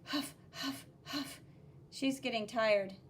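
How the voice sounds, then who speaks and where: A woman with a very high voice reads a picture book aloud for children as an art teacher. She takes her time, stressing key words in a sing-song way and explaining the drawings simply. There is faint noise behind her. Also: slow. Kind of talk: expressive read-aloud with drawing commentary for children.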